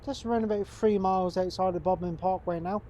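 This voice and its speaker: A man's voice: high voice, brisk, slight background noise. A man with a congested, stuffed-up voice from a cold, chatting casually to viewers while playing a game. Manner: relaxed, chatty, talks to viewers as folks. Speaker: gaming channel host. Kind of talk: casual gameplay commentary.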